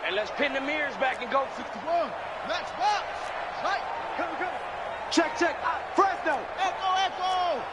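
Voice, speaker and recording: A man playing an urgent football player on the field in a video game, shouting short pre-snap signals. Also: very high-pitched, unhurried, long pauses. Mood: urgent, barking. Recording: distant shouts under loud stadium noise. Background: stadium crowd, game noise.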